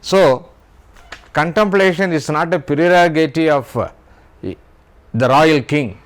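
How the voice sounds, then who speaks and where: Slow-speaking Indian man with an accent. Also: on the high side. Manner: deliberate, spells out words letter by letter. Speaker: YouTube host, writer. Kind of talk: slow literary talk.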